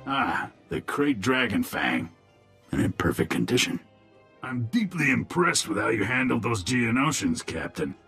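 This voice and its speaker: An actor playing a shady, self-serving male criminal middleman in a video game, with a raspy, gravelly voice. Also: medium pitch, big pitch swings, measured pace, pushed and bright, faint music behind, exaggerated voice. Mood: impressed.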